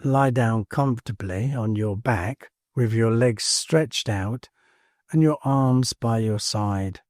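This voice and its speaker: A man leading a guided meditation in a calm, soothing manner, leaving space between short phrases. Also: medium pitch, takes it slowly, no room sound, studio quality. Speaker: meditation teacher. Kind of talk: guided body scan meditation.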